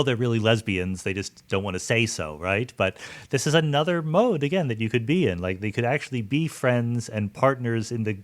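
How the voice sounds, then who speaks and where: A man with a fairly low voice hosts a conversational interview. He feels his way through each thought, pausing and rewording as he speaks. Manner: halting, thinking aloud with rephrasing. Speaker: podcast host, interviewer.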